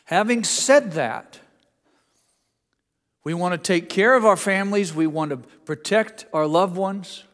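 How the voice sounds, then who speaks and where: A man's voice: sits high, unhurried, fairly bright tone. A man with an Indiana accent preaching a sermon to his congregation in an earnest, conversational way with folksy asides. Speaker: pastor. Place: church sanctuary. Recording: long reverb of a church hall.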